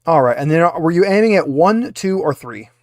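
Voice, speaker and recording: A man with a slightly high-pitched voice runs a tabletop roleplaying game as game master. He talks casually through rules and options, and the recording is dry and close. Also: animated, fairly quick, dry recording.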